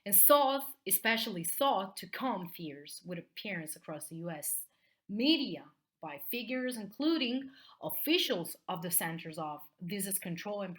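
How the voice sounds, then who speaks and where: A woman's voice: fairly low pitch, a bit bright. Italian-accented woman teacher explaining. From Italy. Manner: ends phrases with checking tags like okay and mm. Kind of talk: explanatory video lesson.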